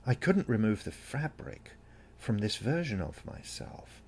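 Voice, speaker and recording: A man reads a haunting first-person story as a dramatic audiobook. His voice is fairly low, with a gravelly creak and a husky grain. He takes his time, keeping the delivery brooding and full of suspense. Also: sing-song, a little slow, darkish tone. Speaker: story narrator.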